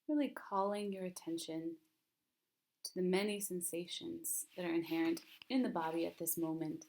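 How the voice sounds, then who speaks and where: Calm, low-pitched woman guiding meditation. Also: gravelly. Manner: calm, gentle, soothing, unhurried with long pauses. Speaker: mindfulness teacher leading a guided meditation. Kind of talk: guided meditation narration.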